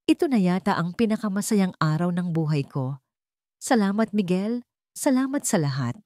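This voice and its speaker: A woman's voice: mid-range voice, lively intonation, normal speed, mellow, no room sound, studio quality, natural voice. A woman voicing a charming, affectionate and reassuring glamorous wife in a story. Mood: joyful.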